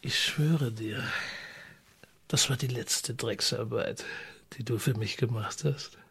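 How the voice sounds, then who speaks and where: A man's voice: medium pitch, slow pace, vocal fry, fairly bright tone, husky, full character voice. An actor playing a shrewd, wry small-town newspaperman in a radio drama, drawling and sardonic. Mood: dry, scheming.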